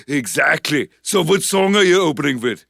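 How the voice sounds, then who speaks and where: Man playing a creaky, hesitant male movie star. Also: a little high, expressive, measured pace, bright, forceful tone, no room sound, clean studio sound, heavily acted. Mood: chummy, hesitant. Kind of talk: casual comedy skit dialogue.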